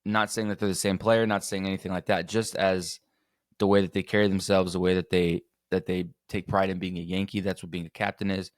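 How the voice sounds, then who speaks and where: Man, podcast host, lowish voice a bit hoarse, chatty and self-correcting, dry home recording. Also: little pitch movement, fairly quick, no room sound. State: slightly hoarse. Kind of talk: casual sports podcast talk.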